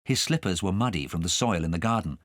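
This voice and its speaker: A man reading a children's story aloud as an expressive audiobook narrator. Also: low-pitched, fast, no room sound, clean studio sound.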